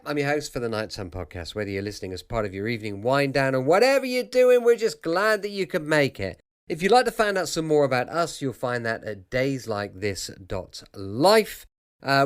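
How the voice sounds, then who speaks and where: A British man introduces a late-night show as its host. His pitch rises and falls a great deal, and his patter is informal, chatty and reassuring. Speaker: podcast host.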